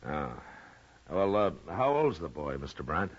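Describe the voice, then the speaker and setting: An American actor playing a gruff, measured frontier marshal in a 1950s Western radio drama, with a husky, terse delivery. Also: male voice, low-pitched, big pitch swings, full character voice. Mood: questioning, calm. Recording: dull lo-fi old radio recording.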